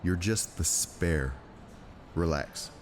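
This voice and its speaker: A man's voice: sits low, takes it slowly, a little hiss or hum. A man playing a cocky, flippant strike team agent in a drama, mocking and offhand. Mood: smug. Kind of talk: dramatic dialogue.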